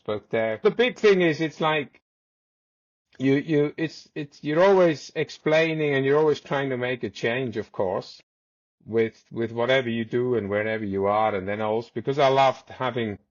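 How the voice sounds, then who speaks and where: A man's voice: medium pitch, normal speed, dry recording, muffled recording. A man in his forties, raised in South Africa and a native Swiss German speaker, telling stories as a podcast guest, rambling with many restarts. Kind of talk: casual storytelling.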